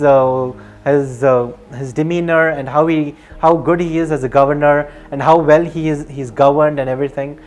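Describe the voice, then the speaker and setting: A video narrator telling a life story off the cuff, hesitant and rambling, often restarting and repeating phrases. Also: medium pitch. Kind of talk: casual unscripted storytelling.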